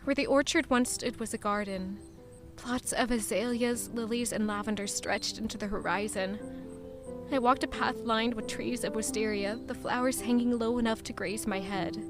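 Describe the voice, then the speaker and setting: A woman playing a quietly resolute young woman in an audio drama, narrating in a measured, reflective way. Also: on the high side, fairly even intonation, over music, lightly acted. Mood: brooding, wistful. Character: guilt-ridden, quietly resolute young woman returning to her hometown. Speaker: narrator and lead character. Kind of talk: first-person scripted audio drama narration.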